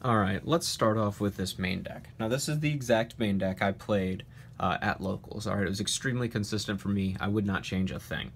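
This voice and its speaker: A man's voice: lowish voice, brisk, slight background noise. A man hosting a casual card game deck profile with a stuffy, nasal voice from a cold. He talks confidently and emphatically, with frequent "uh" and "um". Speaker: card game channel host.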